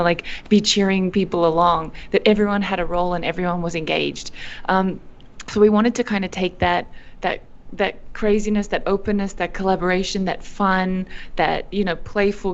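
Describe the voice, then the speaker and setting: A young woman from Australia answering interview questions, animated, with frequent fillers and restarts. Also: on the low side, lo-fi sound. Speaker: guest, company founder. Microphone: video call.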